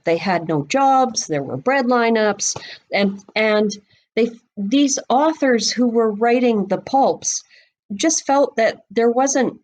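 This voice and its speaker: A woman's voice: a little high, a little mellow. A woman speaking as an expert guest in a podcast interview, explaining things in an emphatic, conversational way.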